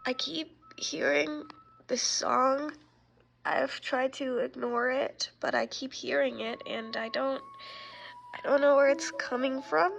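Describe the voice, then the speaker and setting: A woman playing an uneasy, haunted woman in found-footage horror, speaking in a hesitant, halting and unsettled way. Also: high-pitched, steady tone, gravelly, noisy background, full character voice.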